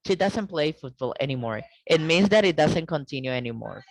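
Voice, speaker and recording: A woman teaching an English grammar lesson over a video call, explaining slowly and checking in with "okay?" often. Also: low-pitched, animated, no room sound. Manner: slow, deliberate explaining with frequent "okay?" checks.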